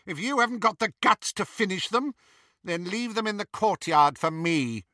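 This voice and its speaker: A man acts out an imperious storybook witch, half mad and frightening. The pitch is pushed high and swoops wildly, with a sharp, commanding edge. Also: expressive, normal speed, no echo, clean studio sound. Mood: imperious scorn. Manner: shrieking, commanding.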